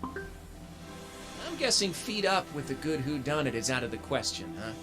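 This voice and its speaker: An actor playing a plucky young robot soldier in a video game, with a casual, wry and dutiful manner. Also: male voice, medium pitch, big pitch swings, measured pace, over music. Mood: wry, uneasy. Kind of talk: scripted video game cutscene acting.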